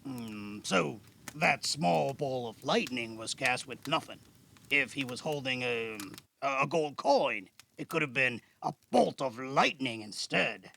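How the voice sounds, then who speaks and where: A man playing a patient, modest veteran magic caster in an amateur audio drama, gravelly and measured, with thoughtful hums. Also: medium pitch, lively intonation, sharp tone, a little hiss or hum, heavily acted. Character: Alan, weathered veteran male caster, patient, modest, wryly guarded.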